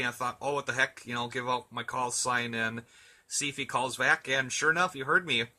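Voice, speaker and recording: A man's voice: medium pitch, sharp tone. A man in a casual radio chat, talking in an easygoing, chatty and hesitant way as an amateur operator.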